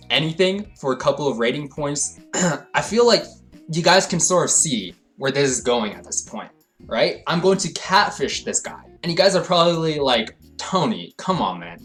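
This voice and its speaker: A young man narrating a comedic storytime, swinging between hyped rants and low deadpan asides. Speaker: storytelling vlogger.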